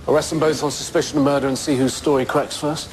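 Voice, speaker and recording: An actor with an English accent playing a brisk, hard-nosed lawyer in an audio drama. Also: male voice, on the high side, steady tone, lightly acted, rough recording. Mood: brisk, sceptical. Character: brisk, hard-nosed male crown prosecutor. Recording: clear background noise.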